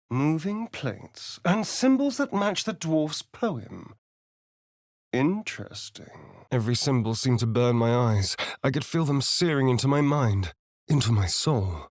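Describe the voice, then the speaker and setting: An actor playing a sardonic, vain vampire spawn rogue in a video game, with a theatrical, drawling British delivery. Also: male voice, medium pitch, dry recording, studio quality. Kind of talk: video game character voice lines.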